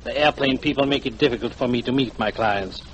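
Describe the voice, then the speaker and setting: Male actor as fawning, self-important guide, florid courtesy, mid pitch, vintage radio drama sound. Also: fast, highs cut off, close to an everyday voice. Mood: oily, ingratiating. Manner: oily, flowery, self-important politeness. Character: big, weary, unctuous foreign tour guide, eager to please. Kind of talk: 1940s radio acting. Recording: old 1940s radio broadcast, noisy.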